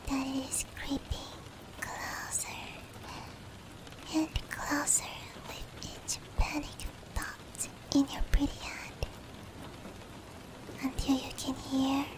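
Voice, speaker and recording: A woman voicing a female sleep paralysis demon in a breathy, whispered roleplay ASMR style, with hushed, close, drawn-out phrasing. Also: poor audio. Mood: menacing, teasing. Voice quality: breathy, whispery, high-pitched. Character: female sleep paralysis demon, teasing menace turning tender. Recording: steady rain noise behind voice.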